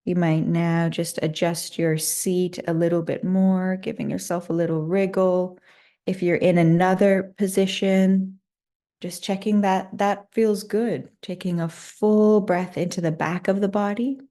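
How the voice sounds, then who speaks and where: A woman leading a guided meditation in a soothing, unhurried and gently inviting manner. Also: on the low side, level tone.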